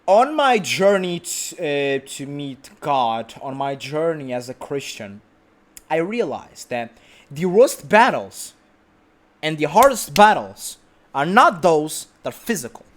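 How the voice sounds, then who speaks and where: A man's voice: a little high, big pitch swings, slow pace, pauses often. A man sitting on his sofa at home, delivering an unedited vlog monologue in a brash, self-assured way, rambling with restarts and the odd swear word. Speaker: vlog host.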